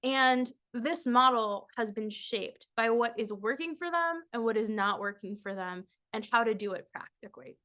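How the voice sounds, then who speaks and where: A woman whose first language is Spanish gives a measured webinar presentation over a video call, her pitch falling at the ends of phrases. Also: bright, forceful tone. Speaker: workshop presenter and consultant.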